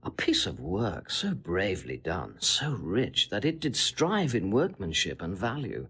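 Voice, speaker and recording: A sly braggy shakespeare gentleman, very animated, bit husky, insinuating and theatrical. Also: deep voice, unhurried, exaggerated voice. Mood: boastful, insinuating. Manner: theatrical, insinuating, relishing detail. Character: sly, boastful Italian gentleman, smooth-tongued seducer taunting a husband. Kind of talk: classical Shakespearean audio drama acting.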